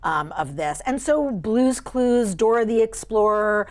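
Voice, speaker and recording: A woman voice actor, a guest on a studio talk show, telling stories about her career in a lively, expressive way. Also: on the high side, a little slow. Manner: animated, expressive storyteller. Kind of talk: interview storytelling. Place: TV studio couch.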